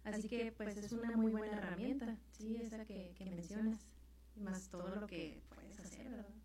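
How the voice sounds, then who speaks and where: A woman's voice: medium pitch, level tone, moderate pace, mellow, very echoey, distant mic. A woman hosting a radio interview, asking conversational questions. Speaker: host.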